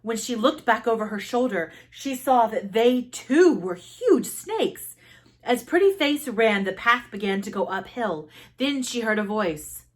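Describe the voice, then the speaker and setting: A woman reading a children's story aloud as expressive narration, with deliberate dramatic emphasis and pauses. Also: on the high side. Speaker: storybook reader.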